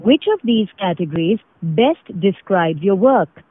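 A woman with an Indian accent reading formal, scripted automated phone prompts in a measured way over a phone line. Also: mid-range voice, expressive, slight background noise. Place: recorded phone call. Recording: tinny narrow phone line recording.

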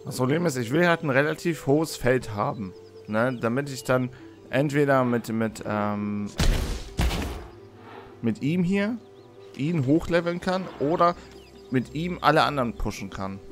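Slightly hoarse man commenting over game music. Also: mid-range voice, animated, a little slow. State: hoarse from being under the weather. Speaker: let's play host. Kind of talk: casual video game commentary. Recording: music behind the voice.

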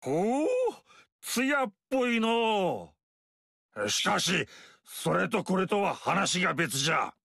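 A lecherous, greedy old tyrant for a video game, leering and boisterous. His voice is gravelly and high-pitched for a man, swinging wildly up and down. It sits dry and close in the studio. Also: animated, takes it slowly, sharp tone, no echo, full character voice. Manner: leering, boisterous, booming laughs.

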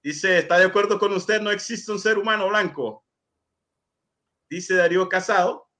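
A man's voice: high-pitched, normal speed, bright, forceful tone. A middle-aged man from Honduras hosting an interview on a video call, courteously reading viewer messages aloud. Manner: courteous, reads comments aloud. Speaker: host.

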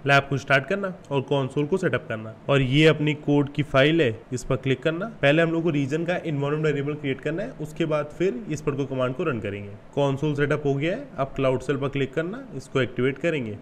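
A man's voice: a little high, a little fast, hardly any pauses, slight background noise. A man in his twenties with an Indian accent hosts a casual step-by-step screen tutorial, informal and instructional, mixing in English terms. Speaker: YouTube tutorial host.

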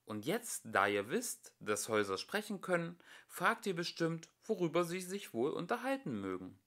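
A young man narrating a children's audiobook in an expressive, theatrical way, addressing his listeners directly. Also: fairly high pitch, normal speed. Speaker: amateur audiobook reader.

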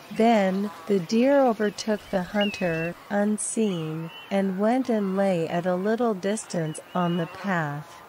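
A woman's voice: on the low side, a little mellow. A woman reading a scripted children's story as a storyteller.

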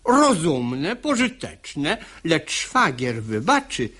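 A man's voice: fairly high pitch, wildly swooping pitch, takes it slowly, pushed and bright, exaggerated voice. An actor playing a stubborn, domineering middle-aged father in a verse comedy radio play, emphatic and sarcastic in delivery.

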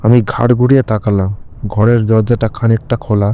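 Low, even-toned man narrating horror. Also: a little fast, noisy background. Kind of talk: scripted horror story narration in first person.

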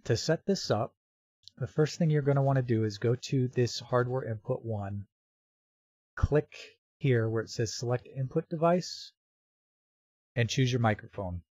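Mellow-voiced man giving a dry software tutorial. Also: medium pitch, measured pace, dry recording, highs cut off. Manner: informal, explanatory. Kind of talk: step-by-step screen tutorial narration.

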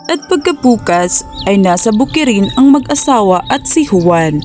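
A woman narrating a cartoon storybook in a plain, steady way. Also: mid-range voice, moderate pace, faint music behind.

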